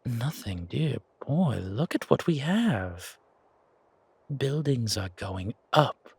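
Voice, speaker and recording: A man's voice: mid-range voice, sing-song, slow, gravelly, a little mellow, breathy edge, dry recording, exaggerated voice. A man voicing an imperious, scolding yet protective ancient deity in a fantasy audio roleplay, commanding and teasing. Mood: insistent.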